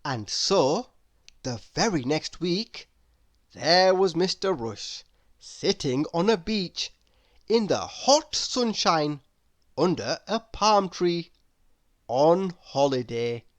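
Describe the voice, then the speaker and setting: A woman reading a children's story aloud in an expressive narrating style. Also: fairly low pitch, takes it slowly. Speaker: storybook reader.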